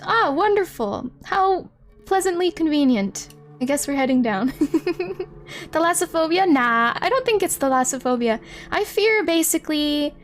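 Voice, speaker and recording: A giggly, expressive woman hosting a casual livestream, chatting with viewers and reading a story aloud. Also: high-pitched. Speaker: livestream host. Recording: music playing behind the voice. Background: background music.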